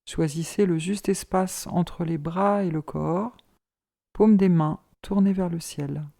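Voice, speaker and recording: A woman leading a guided yoga relaxation as an instructor, in a calm, measured, soothing manner. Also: on the low side, gravelly.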